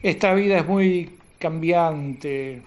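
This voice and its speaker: A man's voice: a little high, slow. An actor playing a nervous, distrustful, self-important wealthy man in a radio drama, hesitant and defensive. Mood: guarded, proud.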